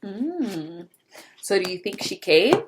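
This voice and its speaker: A woman hosting a children's storybook read-aloud in an expressive, chatty way, often asking listeners questions. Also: mid-range voice, slow, noisy background.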